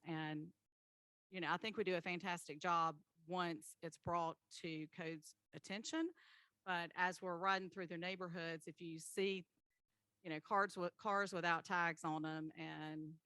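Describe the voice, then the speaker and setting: A woman's voice: fairly low pitch, pushed and bright, dry recording. A woman chairing a city committee meeting, moving through motions and votes in a businesslike way with frequent filler words. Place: city hall meeting chamber.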